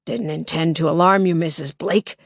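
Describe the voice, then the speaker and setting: A menacing masked male intruder, put on by a woman. His voice is thick and harsh like a heavy smoker's, often sinking to a low threatening whisper. It sounds dry and close. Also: steady tone, moderate pace, creaky, no room sound.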